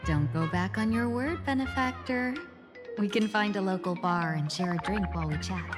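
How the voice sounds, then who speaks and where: A woman voicing a composed, courteous game character with a measured, formal, gently teasing manner. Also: medium pitch, music in the background, natural voice. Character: composed, courteous woman, subordinate of a general, dryly playful. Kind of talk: video game voice acting.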